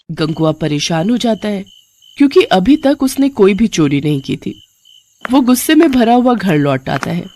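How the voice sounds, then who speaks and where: A woman narrates a scripted moral story for a cartoon. Her voice is mid-pitched, and the recording carries faint background noise. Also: a little mellow.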